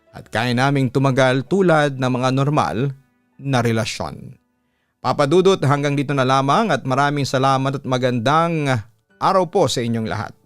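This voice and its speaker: A man's voice: mid-range voice, clear, resonant tone, dry recording. A man with a Filipino accent reads a listener's letter as a scripted story, in the role of a radio announcer and host. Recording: music behind the voice. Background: background music.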